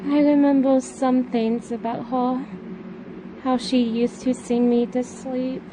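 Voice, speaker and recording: A woman's voice: sits high, flat delivery, slow pace. A woman playing an anxious, grieving young daughter in an amateur audio drama, speaking in a hesitant, subdued way. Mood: wistful. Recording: noisy hobby recording.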